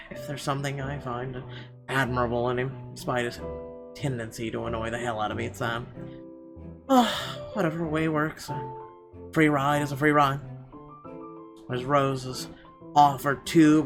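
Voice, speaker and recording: A man reads story narration aloud in a creaky, gravelly voice of middling pitch. His delivery is halting and stumbles now and then, with clear guitar music playing behind him. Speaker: gameplay video host. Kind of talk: reading visual novel narration aloud.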